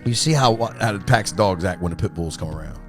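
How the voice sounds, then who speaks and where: A man's voice: low-pitched, expressive, fast, faint music behind. A man hosting a podcast, chatting casually and swearing often, with lots of "you know what I mean". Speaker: podcast host. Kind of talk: casual profane chat. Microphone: studio mic.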